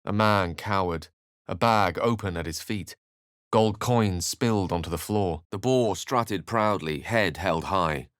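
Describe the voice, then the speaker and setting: A man's voice: low voice, a little slow, fairly bright tone, clear, resonant tone, no room sound, clean studio sound. A man acting an eloquent, cultured wizard in a video game, earnest and wry, with articulate, ornate phrasing. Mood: storytelling, measured.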